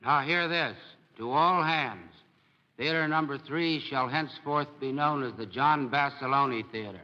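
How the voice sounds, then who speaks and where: Male naval officer, sharp declamatory delivery, formal announcement, dull vintage broadcast with hall reverb. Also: mid-range voice, a little slow. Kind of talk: formal military dedication announcement. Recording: muffled 1940s radio broadcast, long hall reverb.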